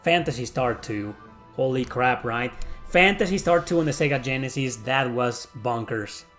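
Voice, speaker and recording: A middle-aged man with a Mexican accent chats through viewer questions at a mid pitch. He talks bluntly, swears freely and rambles with lots of fillers. Faint music plays underneath. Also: expressive, moderate pace, a bit bright. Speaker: YouTube host answering viewer questions. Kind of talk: casual Q&A chat.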